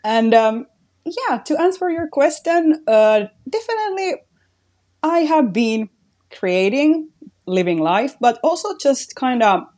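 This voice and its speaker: A woman's voice: high-pitched, sing-song, slow pace, darkish tone. A woman from Finland with a Finnish accent, a guest artist talking reflectively in a casual podcast chat, with "like" in nearly every phrase. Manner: reflective, says "like" very often.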